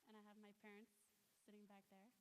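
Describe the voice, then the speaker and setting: A woman in her twenties from Lewis County tells her testimony in a casual, chatty way. Her pitch is mid-range for a woman. A faint hiss runs under her voice. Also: flat delivery, brisk, low-quality recording. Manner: casual, chatty, many "like" fillers. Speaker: guest speaker. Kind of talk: personal testimony. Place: church hall.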